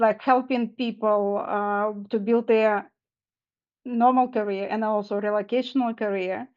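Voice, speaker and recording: A woman introduces herself on an online panel, building her sentences carefully and with phrasing. Her voice is somewhat high. The sound is dull and lo-fi, as over a video call. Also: a little mellow. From Kazakhstan. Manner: halting, second-language phrasing. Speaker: panelist, finance mentor.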